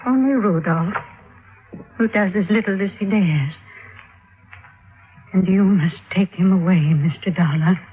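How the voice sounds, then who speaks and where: An actress as a frail old widow, tinny radio. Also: female voice, mid-range voice, measured pace, pauses often, natural voice. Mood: bitter, weary. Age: older. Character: frail, fearful little old widow in wheelchair. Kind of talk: old-time radio drama acting.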